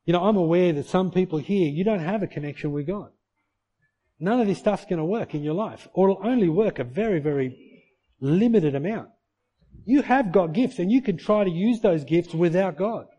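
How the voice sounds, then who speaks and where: Middle-aged male pastor, warm mellow tone, slightly higher voice, earnest sermon delivery with rhetorical questions. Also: animated, moderate pace, muffled recording. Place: church.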